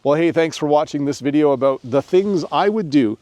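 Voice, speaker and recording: A man's voice: fairly high pitch, expressive, a little fast, darkish tone, no echo. A man who speaks English natively, explaining step by step in an instructional video as a teacher, summing up as he goes. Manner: clear step-by-step explaining with repeated summaries. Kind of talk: instructional talk to camera.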